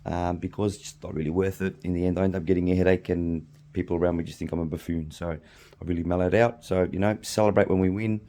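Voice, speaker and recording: A middle-aged Australian man hosting a podcast alone, slightly hoarse from losing his voice, rambling casually with frequent ums and restarts. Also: deep voice, steady tone, fast talker, warm tone, slight background noise. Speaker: podcast host. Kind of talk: casual solo chat.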